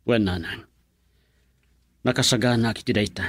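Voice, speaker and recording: Man acting resolute young lover, husky, mid-pitched, earnest, intense, dry radio drama sound. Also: normal speed, fairly bright tone, dry recording, close to an everyday voice. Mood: defiant, earnest. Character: determined young man, defiant devoted lover.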